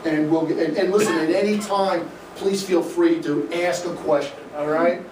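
A man's voice: on the high side, normal speed, distant mic. Midwestern man lecturing in an echoey room. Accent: Midwestern American. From American Midwest. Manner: energetic, gesturing, conversational with fillers. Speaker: swim coach giving a talk. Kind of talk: live presentation to an audience. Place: dim indoor event room, in front of a projection screen. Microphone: room camera from the audience, no mic seen. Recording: echoey room, voice from afar, faint noise.

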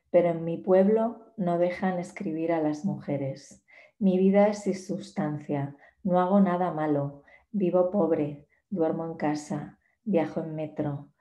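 A woman in her forties reads poetry aloud, slowly and with care, in a fairly low, mellow voice. The sound comes from a laptop on a video call and has the roominess of a small bare room. Her delivery is measured and unhurried. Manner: slow, measured poetry reading. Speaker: poet giving a talk. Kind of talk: reading poems aloud in a talk. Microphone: laptop webcam on a video call. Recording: echoey room.

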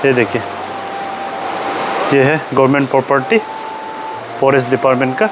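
A man's voice: mid-range voice, flat delivery, normal speed, background noise. A man casually narrating his road trip for a travel vlog, pointing out sights to viewers as he films on a phone. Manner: chatty, pointing things out to viewers. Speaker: travel vlogger. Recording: music playing underneath.

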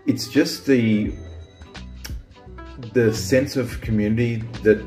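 A man's voice: mid-range voice, steady tone, slow pace, darkish tone, reverberant, faint music behind. A man who grew up in Australia, hosting a casual chat about small-town life, rambling with lots of restarts and ums. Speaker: vlog host.

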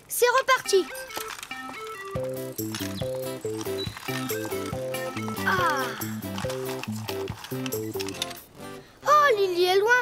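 A cheeky, boastful little cartoon donkey, with a very high, bright child's voice. He speaks slowly and clearly, in a sing-song way. Cartoon music plays behind him. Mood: smug.

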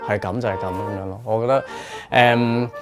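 A man's voice: on the low side, steady tone, moderate pace. Hong Kong Cantonese man, casual interview, music behind. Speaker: radio drama writer and performer being interviewed. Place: small radio studio.

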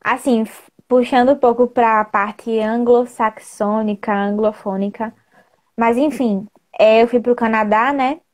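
A woman's voice: on the high side, fairly even intonation, measured pace. A woman in her twenties with a northeastern Brazilian accent, a student guest explaining things in a casual, chatty way. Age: 20s. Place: indoor room at home. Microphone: wired earphone mic on a video call.

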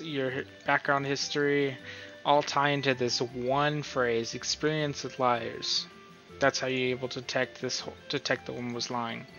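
Man, casual tutorial host, middling pitch, bright forceful tone, informal thinking aloud, dull recording. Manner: informal, thinking aloud, often checking with "right?". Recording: dull, lo-fi sound with music.

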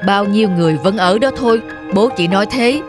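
A woman's voice: medium pitch, fairly quick, over music, close to an everyday voice. A woman voicing a stern middle-aged father in a cartoon drama, firm and matter-of-fact.

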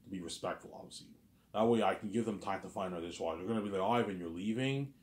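A man's voice: low voice, very fast. Rambling man in his thirties, echoey room. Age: 30s. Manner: rambling, repetitive, stumbles over words, filler-heavy. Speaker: vlogger. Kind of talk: casual rambling monologue.

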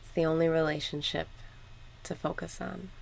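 A woman leading a scripted guided meditation in a measured, instructional way with long pauses. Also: sits low, fairly even intonation, creaky, low-quality recording.

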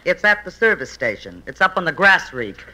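A woman's voice: fairly low pitch, very animated, brisk, heavy background noise. A woman playing a possessive, theatrical faded film star in an old American radio comedy. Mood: imperious. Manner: theatrical, pleading.

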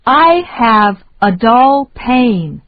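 A woman reading short phrases slowly and deliberately for learners to repeat. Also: a little high, big pitch swings, highs cut off, slight background noise. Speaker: English lesson reader.

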